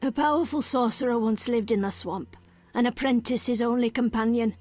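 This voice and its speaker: An actress playing a solemn, cryptic female village elder in a video game, speaking in measured, archaic phrases. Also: fairly high pitch, fairly even intonation, a little mellow, natural voice. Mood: grave storytelling.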